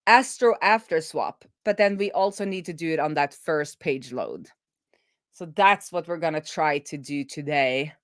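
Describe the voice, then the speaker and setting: Middle aged woman doing casual live coding commentary, lowish voice, very dry sound, stops and starts. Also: dry recording. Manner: informal, thinking aloud, halting. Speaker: host of a web development live stream.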